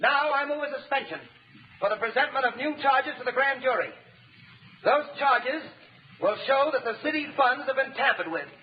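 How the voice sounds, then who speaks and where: A man's voice: very high voice, lively intonation, normal speed. A man acting a resolute, righteous young assistant prosecutor, declaiming in a 1930s radio drama. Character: triumphant, righteous young assistant district attorney denouncing corruption. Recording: muffled, noisy 1930s radio recording.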